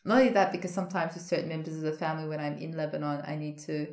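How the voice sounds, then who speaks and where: A woman's voice: low-pitched, steady tone, very fast, a little mellow, echoey room. A woman who grew up in Australia, answering interview questions in a thoughtful, hesitant way with many fillers and restarts. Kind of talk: casual interview conversation.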